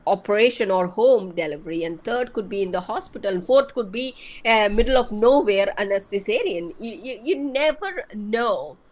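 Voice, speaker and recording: Female, non-native English speaker, average pitch, informal advisory talk, emphatic and repetitive in explaining. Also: lively intonation, normal speed, few pauses, darkish tone, rough recording. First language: not English. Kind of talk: casual conversational advice.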